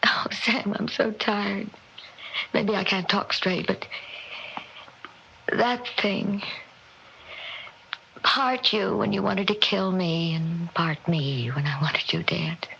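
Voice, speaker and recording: An actress in a 1960s radio drama playing a weary, frightened, bitter wife, speaking in halting, strained bursts. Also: female voice, medium pitch, steady tone, gravelly, bright, forceful tone, full character voice. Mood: weary, dazed. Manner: halting, repeating, emotionally strained. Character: weary, frightened, bitter wife confessing long-held hatred. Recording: dull, noisy old radio recording.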